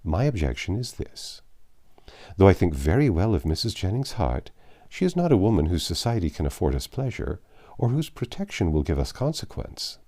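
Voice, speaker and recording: A man reading a calm, prudent young woman. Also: sits low, exaggerated voice. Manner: measured and composed.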